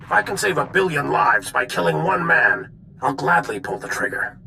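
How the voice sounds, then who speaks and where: Very gravelly, forceful general. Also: male voice, on the high side, sing-song, moderate pace, slight background noise, exaggerated voice. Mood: resolute, worried. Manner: clipped, military, stern. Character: hard-bitten male Republic general, grim and resolute. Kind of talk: video game voice acting.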